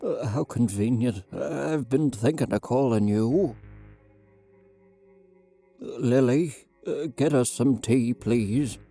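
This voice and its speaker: A man voicing a friendly elderly man who speaks slowly and politely, with small hesitations. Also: medium pitch, gravelly, dark tone, faint music behind. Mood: welcoming. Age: older.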